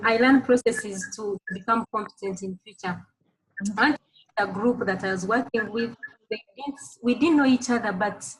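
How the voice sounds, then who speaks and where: A Ugandan woman with a fairly high voice speaks earnestly over a video call. She restarts her phrases as she goes, with a faint hiss behind her. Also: rough recording. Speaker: course participant. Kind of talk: thank-you speech on a video call.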